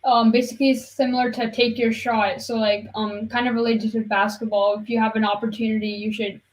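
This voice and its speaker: A young woman gives casual, slightly hesitant answers as a student guest. Her voice is a little high for a woman. The intonation stays flat and even throughout. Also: fairly quick, low-quality recording.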